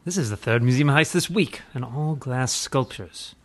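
A man's voice: medium pitch, normal speed, close to an everyday voice. A man playing a suave, pompous playboy who is secretly a mysterious crimefighter, in theatrical old-time radio parody style. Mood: weary, musing. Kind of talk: parody of 1940s radio drama.

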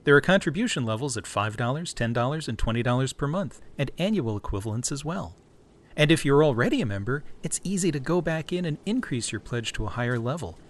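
Lively male podcast host. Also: mid-range voice, brisk. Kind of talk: reading a membership appeal.

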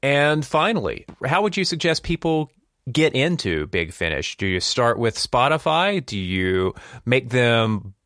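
A man's voice: medium pitch, big pitch swings, unhurried, a bit bright, no echo, studio quality. A man hosting a podcast in a conversational style. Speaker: podcast host.

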